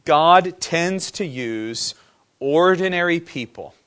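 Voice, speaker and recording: A man's voice: fairly high pitch, lively intonation, takes it slowly, fairly bright tone. A man from preaching a sermon, often putting questions to the congregation. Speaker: pastor.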